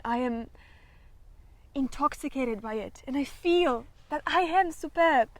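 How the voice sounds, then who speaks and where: Casting: woman to play wounded young actress in classic stage drama, high voice, slow halting delivery, fragile but fervent. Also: dry recording. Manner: halting, distracted, emotionally wavering.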